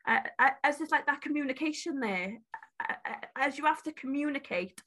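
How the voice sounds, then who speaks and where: 吃音が強く、言葉を何度も繰り返す高めで明るい声の女性の話し声。. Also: female voice, sits high, lively intonation, brisk, fairly bright tone. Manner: stutters heavily, repeats and restarts. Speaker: guest, beauty brand founder. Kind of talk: candid interview talk.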